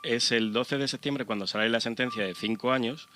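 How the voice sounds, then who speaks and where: A man's voice: mid-range voice, fairly quick, bright, forceful tone, soft background music. A man discussing things casually as a radio panelist.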